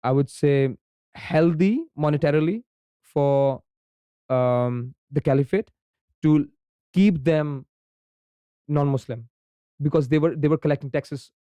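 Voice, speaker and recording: Pakistani man explaining, mellow smooth voice. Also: fairly high pitch, slow pace, long pauses, dry recording. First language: Urdu. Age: young adult. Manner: frequent ums and repeated "right?" tags. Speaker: podcast guest. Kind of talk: conversational explanation.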